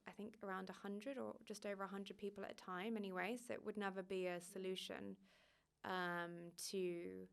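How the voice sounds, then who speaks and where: A woman's voice: medium pitch, creaky, smooth. A woman, a migration journalist, answering interview questions thoughtfully, hedging with fillers and restarts.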